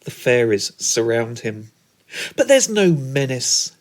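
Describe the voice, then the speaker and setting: A man reciting a scripted comic story poem in a measured, theatrical way. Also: mid-range voice, sing-song. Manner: measured, theatrical, deliberate delivery. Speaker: spoken word performer, storyteller. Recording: music under the voice.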